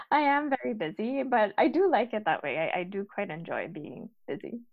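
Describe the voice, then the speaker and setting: A woman's voice: mid-range voice, lively intonation, moderate pace, creaky, no room sound. Young woman from Guyana, dry dull audio. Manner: earnest, fluent with many fillers. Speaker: guest, recent PhD graduate. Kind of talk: casual podcast interview.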